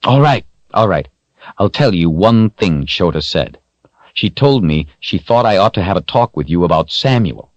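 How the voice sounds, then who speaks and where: A man's voice: low voice, animated, normal speed, no echo, dull audio. A man voicing a troubled young hero, his lines strained and rising into anguished outbursts. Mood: guarded.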